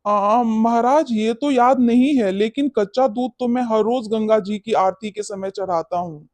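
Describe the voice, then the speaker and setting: A man's voice: high-pitched, measured pace, darkish tone, no echo. A man playing a timid, gullible and respectful village sweet-seller in a cartoon bedtime story. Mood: worried. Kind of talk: animated bedtime story character acting.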